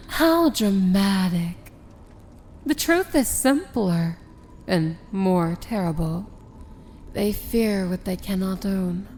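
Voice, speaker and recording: A woman playing a proud, wry yet tender princess of evil in an intimate ASMR fantasy roleplay, with a theatrical, hushed delivery. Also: mid-range voice, expressive, a little hiss or hum. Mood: amused, defiant. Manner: theatrical, deliberate, often dropping to a hushed whisper.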